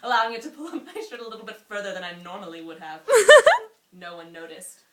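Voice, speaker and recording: A woman's voice: medium pitch, fast talker, fairly bright tone. A woman reading a story aloud informally, as an amateur, prone to giggly asides. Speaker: amateur reader. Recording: roomy echo, faint hiss.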